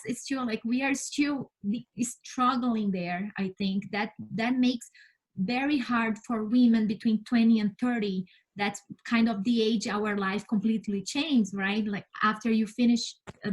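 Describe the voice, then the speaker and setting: A woman from Brazil, a native Portuguese speaker with a Brazilian accent, answering interview questions as a scientist in an earnest, halting way. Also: medium pitch, poor audio. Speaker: interview guest, space scientist and mentor.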